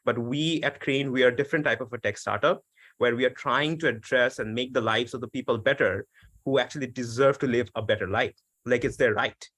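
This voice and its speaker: A man's voice: medium pitch, a little fast, fairly bright tone, no echo. A young man from Bangladesh answering on a webinar panel, talking earnestly with lots of "like" fillers and restarts. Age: young adult. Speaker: startup team member, economics student. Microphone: video call.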